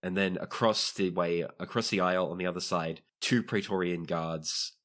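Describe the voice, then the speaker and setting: Guy game master with a deep voice, narrating a tabletop rpg scene. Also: steady tone, normal speed, fairly bright tone.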